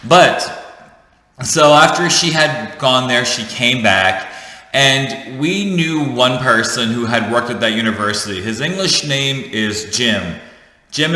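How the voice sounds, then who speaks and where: Man in his 30s, vlogger, bright tense tone, jokey storyteller, recorded in a reverberant room. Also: medium pitch. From United States. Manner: chatty, jokey, self-correcting. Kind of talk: casual storytelling to camera. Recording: echoey room with long reverb.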